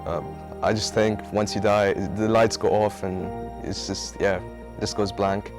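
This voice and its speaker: A twenty-one-year-old man, an engineering student, speaking in a relaxed way with many fillers. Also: on the low side, a little slow. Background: music.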